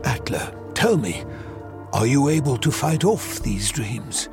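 A man playing an old, stern, measured magical mentor with a raspy voice in a fantasy audio drama. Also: mid-range voice, sing-song, darkish tone, faint music behind, full character voice. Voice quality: husky, raspy.

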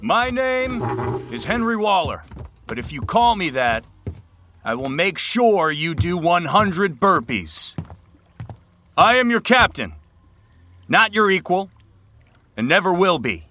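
A man's voice: sits high, sing-song, slow, long pauses, sharp tone, slight background noise, heavily acted. An actor playing an arrogant, domineering young male college rowing captain in an audio drama, commanding and projecting. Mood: pompous, commanding. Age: young adult. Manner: pompous, commanding, projected speech. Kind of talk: scripted audio drama acting.

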